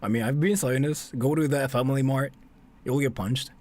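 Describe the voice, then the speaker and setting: A man's voice: medium pitch, moderate pace, a little mellow. A man from Japan chatting casually on a livestream and reacting with sarcastic, repetitive jokes. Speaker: livestreamer reacting to a video and chat.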